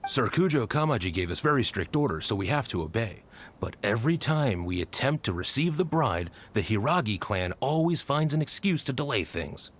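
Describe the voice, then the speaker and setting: A man's voice: mid-range voice, sing-song, moderate pace. A man voicing a stern but polite soldier in a video game, official and restrained. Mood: formal, polite.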